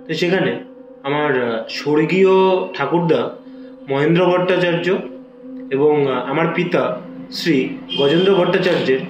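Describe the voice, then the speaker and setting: Casting: man as courteous, formal gentleman, slightly high voice, West Bengal Bengali accent, amateur web drama, echoey room. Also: moderate pace, pauses often, soft background music, natural voice. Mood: earnest. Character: formal, courteous gentleman client, property heir.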